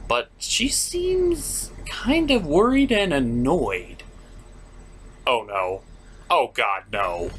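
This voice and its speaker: An animated review host whose pitch swings widely as the rant builds. The delivery is unhurried, sarcastic and exasperated, with theatrical stress on key words. There is clear noise in the recording. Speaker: host of a cartoon review show. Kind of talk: comedic review rant with scripted recap.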